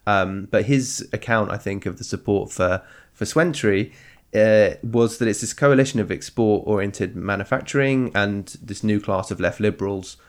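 A hoarse man co-hosting a podcast, talking politics in a thoughtful, halting and wry way. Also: fairly low pitch. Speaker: podcast co-host. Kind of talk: casual political discussion.

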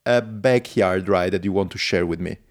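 A man's voice: low-pitched, normal speed. Enthusiastic man hosting a podcast. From lives in Switzerland. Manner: enthusiastic, non-native phrasing. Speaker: podcast host. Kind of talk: casual podcast interview.

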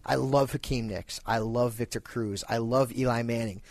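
A man's voice: mid-range voice, normal speed, no room sound. A man co-hosting a sports radio show, joking with his co-host and giving fantasy football advice. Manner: animated, joking banter.

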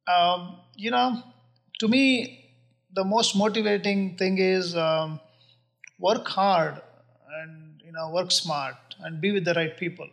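A man in his forties speaks with an Indian accent in a notably high voice. He answers questions earnestly and at a measured pace. He pauses often and fills the gaps with soft fillers. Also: vocal fry. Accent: Indian English. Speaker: real estate investor guest. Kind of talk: casual interview answers.

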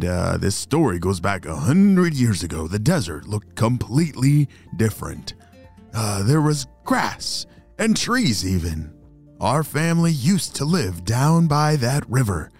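Guy doing an ancient grandpa tortoise, low slow gentle voice, soft music behind. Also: wildly swooping pitch, exaggerated voice. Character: ancient wise grandpa tortoise, calm and protective.

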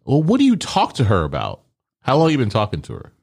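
A man's voice: mid-range voice, huge pitch swings, quick pace, creaky, darkish tone, breathy edge. A man in casual chat, teasing and asking nosy questions.

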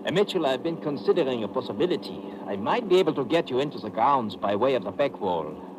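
An actor playing an earnest, nervous German doctor in a 1950s radio drama, with a German accent and a formal, hesitant way of talking. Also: male voice, mid-range voice, big pitch swings, quick pace, lightly acted. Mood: hesitant, worried. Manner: hesitant, repeats words, formal. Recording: slightly noisy old radio broadcast.

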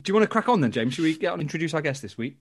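A man's voice: a little high, very animated, rapid-fire, slightly rough, dry recording. Dry-sounding Geordie man, joking. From Newcastle, England. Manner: jokey, self-mocking banter. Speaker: podcast co-host. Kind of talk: casual podcast chat.

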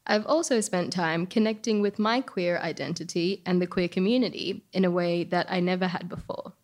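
A woman's voice: on the low side, normal speed, a little mellow. An Australian woman reading scripted personal stories and radio announcements in an emphatic, conversational way. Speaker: storyteller and radio show host.

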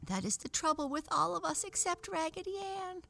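Tiny, very high squeaky doll. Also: female voice, moderate pace, gravelly, bright, forceful tone, heavily acted. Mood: plaintive. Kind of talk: children's storybook character voice.

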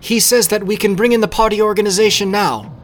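A man's voice: high voice, faint music behind, voice pushed up, natural voice. A man voicing a nervous young military aide with a shaking voice in a history podcast drama. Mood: nervous, fearful. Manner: nervous, halting. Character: nervous young male officer, timid aide reporting upward.

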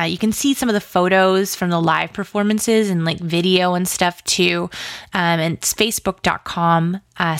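A woman's voice: lowish voice, creaky, no echo, studio quality. A woman chatting casually as an interview guest, enthusiastic and talkative, peppering her sentences with "like". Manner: chatty and enthusiastic, says "like" very often. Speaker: interview guest, podcast and pop culture site creator.

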